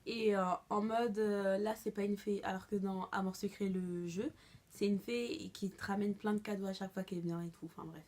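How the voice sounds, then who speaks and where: A young woman vlogger giving a casual, expressive reaction commentary full of slang. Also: medium pitch, moderate pace.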